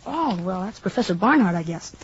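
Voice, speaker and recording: A woman's voice: medium pitch, lively intonation, fairly quick, slightly rough. A woman playing an eager, chatty, naive young American boy with frank, slangy talk, in an old-time radio drama. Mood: curious, playful. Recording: dull, noisy old radio recording.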